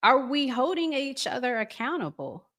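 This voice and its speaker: A woman's voice: high-pitched, very animated, normal speed, a bit bright. A woman hosting a casual live chat, talking conversationally and reflectively and putting questions to her listeners. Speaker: host reading and answering viewer comments.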